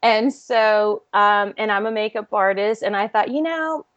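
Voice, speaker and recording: A woman's voice: medium pitch, lively intonation, measured pace, fairly bright tone, no echo, lo-fi sound. A woman from Germany, a makeup artist guest, chatting casually with laughs and frequent ums over a call. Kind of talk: casual interview chat.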